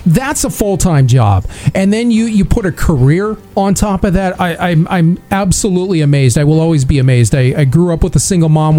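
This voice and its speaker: A man's voice: on the high side, mellow. A man hosting a live local radio interview, eager and prone to restarting his words, with a slightly dry voice. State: dry voice, clearing throat. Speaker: radio show host.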